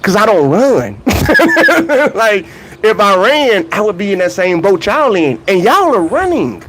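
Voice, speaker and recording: A man's voice: high-pitched, sing-song, slow, noisy background. A young man rants in a casual, comedic way about track and field. He laughs between points and keeps asking rhetorical questions.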